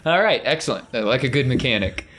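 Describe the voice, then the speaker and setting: A man's voice: medium pitch, animated, fast talker, noisy background. A man working as game master, casually improvising scene narration for a tabletop game.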